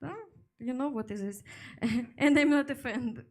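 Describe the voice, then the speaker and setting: A Ukrainian woman in her twenties doing a stand-up set in halting English with a Ukrainian accent, often laughing at her own jokes and slipping into her own language. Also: a little high, a little mellow. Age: 20s. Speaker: stand-up comedian. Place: comedy club stage with a live audience.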